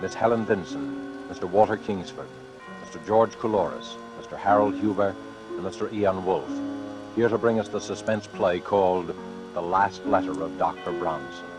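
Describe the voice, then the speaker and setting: A man announcing a 1940s radio suspense show in a formal, measured, ominous manner. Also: sits low, over music, rough recording. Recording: vintage 1940s radio recording.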